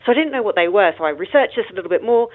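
A woman's voice: medium pitch, animated, rapid-fire. British woman on a tinny phone line. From United Kingdom. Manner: chatty and modest, laughs easily, explains at length. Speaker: guest, international space lawyer. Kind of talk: radio interview answers.

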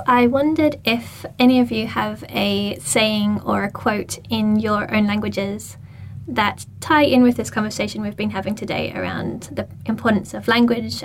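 A woman's voice: mid-range voice, a little hiss or hum. A woman hosting a panel discussion, guiding guests with encouraging remarks. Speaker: radio host.

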